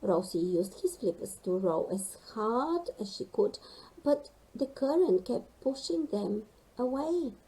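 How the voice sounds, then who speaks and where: A woman storyteller narrates for children in a high, softly mellow voice. Her intonation rises and falls widely, and she takes her time over the phrases. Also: slow pace, poor audio. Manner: animated, addresses young listeners with questions.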